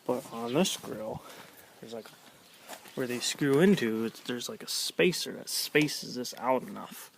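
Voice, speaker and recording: A man with a gravelly, creaky voice at an average pitch chats through a casual walkaround. His delivery is low-key and colloquial. He is recorded outdoors, with clear noise around his voice. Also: unhurried. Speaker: vlogger showing his pickup trucks. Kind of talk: casual chat, walkaround show-and-tell. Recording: noisy outdoor handheld camera recording.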